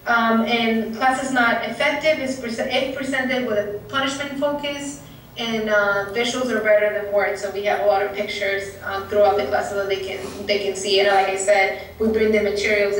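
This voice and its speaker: A woman from Puerto Rico with a thick Puerto Rican Spanish accent, explaining a training course at a conference in an earnest, halting way with many fillers. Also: mid-range voice, a bit bright, a little hiss or hum. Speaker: presenter. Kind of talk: conference presentation. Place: conference room. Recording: echoey room, distant mic.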